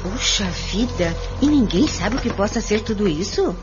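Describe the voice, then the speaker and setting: A woman's voice: medium pitch, animated, moderate pace, natural voice. A woman playing a timid, easily frightened young lady, a fretful friend, in a radio drama. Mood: fearful. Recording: dull radio play recording, music.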